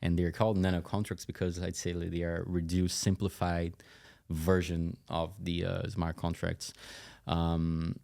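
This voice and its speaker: A man with a very deep, mellow voice. His intonation stays flat as he explains things conversationally. He talks haltingly, with frequent asides, like a guest in an interview. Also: vocal fry. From Brazil. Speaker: tech company CEO, interview guest.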